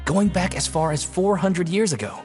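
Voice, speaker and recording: A man's voice: high-pitched, normal speed, loud music behind the voice, lightly acted. A man voicing a friendly member of a small, old mutual aid society who earnestly tries to recruit newcomers. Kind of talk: video game voice acting.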